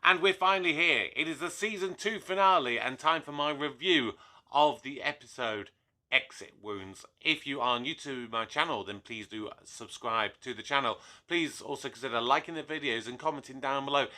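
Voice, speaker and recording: A young man talks straight to his viewers in a loose, animated review, slipping in asides as he goes. His voice is slightly hoarse from a cold, yet it stays sharp and very bright, sitting at an ordinary middle pitch. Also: moderate pace. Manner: chatty, animated, with fillers and asides. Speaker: YouTube reviewer. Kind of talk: casual solo review talk to camera.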